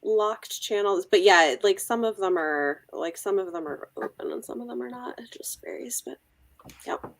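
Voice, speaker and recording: A woman's voice: medium pitch, moderate pace, vocal fry. A woman co-hosting a live show, chatting casually and informally about books. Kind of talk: casual book chat.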